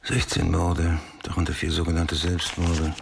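An actor in a radio play voicing a driven, brooding male homicide detective who thinks aloud in clipped, breathy phrases. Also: low voice, monotone, normal speed, background noise. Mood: terse, grim. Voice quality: husky, breathy, often whispering. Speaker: actor playing police detective. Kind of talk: radio drama monologue.

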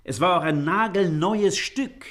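An actor in a German radio play playing an earnest, educated gentleman, stiffly polite, precise and easily offended. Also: high voice, measured pace.

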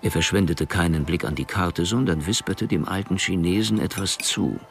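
A man narrating a crime radio drama in a dry, measured storytelling manner. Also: sits low, gravelly, soft background music.

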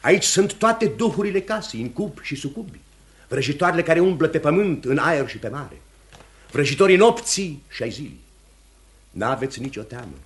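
An actor playing a commanding pastor who hunts the devil, speaking in an emphatic, oratorical radio theatre manner. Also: male voice, fairly high pitch, sing-song. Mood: fervent, declaiming. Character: commanding, certain male pastor hunting witchcraft and the devil.

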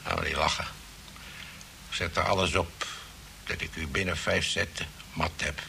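A deep, gravelly gentleman of radio drama, boastful and easily riled. He needles his rival in formal speech, repeating words and blustering, with a forceful edge and slight recording noise. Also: fairly even intonation, normal speed, full character voice. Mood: smug, needling. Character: peevish, boastful male chess player, needling his rival. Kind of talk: radio play acting in Dutch. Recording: noisy old radio play recording.

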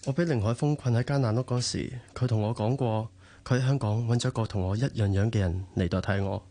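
A man's voice: fairly low pitch, fast, mellow. A male actor playing an upright, dutiful heir to a family business in a radio drama, earnest, with a Hong Kong Cantonese accent. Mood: puzzled, earnest.

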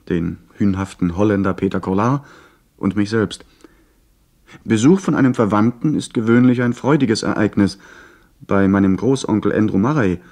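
An actor playing an earnest young gentleman who narrates his adventure in a measured, literary way for a radio play. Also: lowish voice, natural voice. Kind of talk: scripted first-person radio play narration.

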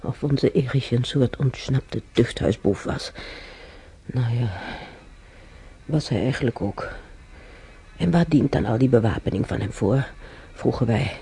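An actor playing an ailing older man in hospital, musing haltingly and bitterly in a naturalistic radio drama. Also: mid-range voice, animated, vocal fry, warm tone, husky, full character voice. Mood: bitter reminiscing. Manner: halting, musing, repeats himself. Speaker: hospital patient.

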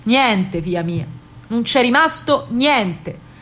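A woman's voice: a little high, animated, takes it slowly, a bit bright, exaggerated voice. A woman voicing a weary, resigned working-class Roman mother, plain-spoken and soothing, in a radio play.

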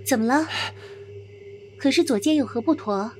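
A woman playing a gentle, attentive young wife in an old Chinese costume audio drama, soft-spoken and solicitous. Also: high voice, moderate pace, a little hiss or hum, close to an everyday voice. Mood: worried.

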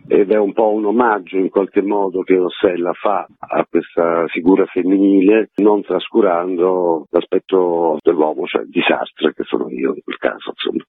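A man's voice: deep voice, breathy edge, no room sound. A man, an actor being interviewed on radio, explaining in a reflective, wordy way over a phone line. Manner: reflective, wordy, with frequent qualifiers. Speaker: stage actor, interview guest.

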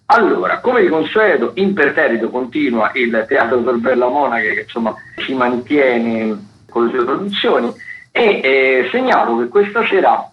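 A man giving theatre listings on the radio in a conversational, halting way, often repeating and correcting himself. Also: mid-range voice, expressive. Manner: halting, frequent repetitions and self-corrections. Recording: dull lo-fi sound, clear background noise.

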